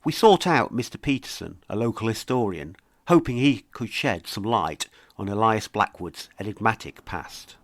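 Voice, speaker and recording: A man's voice: lowish voice, expressive, moderate pace, hardly any pauses, dry recording. A man hosting and narrating a scripted true-crime mystery series. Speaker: podcast host and narrator.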